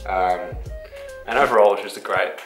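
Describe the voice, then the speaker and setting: A young man co-hosting a casual vlog, speaking in a relaxed, eager way. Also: lowish voice, brisk, echoey room, music in the background. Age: young adult. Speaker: vlog co-host. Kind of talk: casual chat. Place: bright indoor room, seated.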